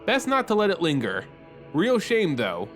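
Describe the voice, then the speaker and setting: A man's voice: sits high, wildly swooping pitch, normal speed, fairly bright tone, faint music behind, full character voice. Man playing a flippant young female fire mage. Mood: wry. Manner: flippant, playful.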